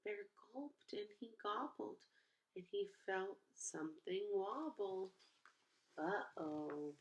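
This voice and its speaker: A woman reading a picture book aloud to young children, pausing to ask them questions in an animated way. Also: mid-range voice, highs cut off.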